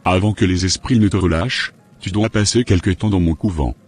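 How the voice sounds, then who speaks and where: A menacing occult healer played by a man in a homemade cartoon. The voice is very low and rough-edged, giving orders with deliberate, domineering authority. Soft music plays behind it. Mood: commanding. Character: menacing, domineering male spiritual master, a sinister occult healer.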